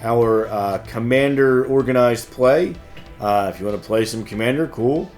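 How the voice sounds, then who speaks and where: A man's voice: mid-range voice, moderate pace, a little mellow, faint music behind. A man hosting a casual news show, hesitant and easygoing as he reads out announcements and banters. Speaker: host of a game shop news show.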